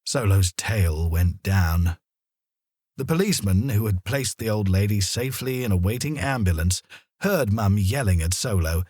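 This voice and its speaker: Lively male storyteller with a husky edge. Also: fairly low pitch, moderate pace, no room sound, clean studio sound. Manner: dramatic, expressive storytelling. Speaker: narrator. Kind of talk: children's story narration.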